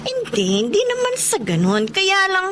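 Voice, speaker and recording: Very high, insecure Filipino mistress. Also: female voice, wildly swooping pitch, normal speed, background noise, full character voice. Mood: sulky, doubting. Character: insecure, anxious young mistress. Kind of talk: Filipino radio drama acting.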